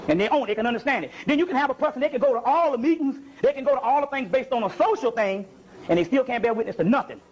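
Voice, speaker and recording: Really high voiced guy doing an impassioned spiritual lecture, talks casual, keeps checking you get it. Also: lively intonation, racing, a little hiss or hum. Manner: colloquial, punctuated with rhetorical check-in questions.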